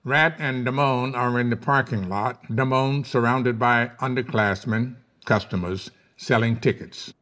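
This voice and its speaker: Guy reading out script stage directions at a table read, medium pitch narrator voice. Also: unhurried, few pauses, a bit bright.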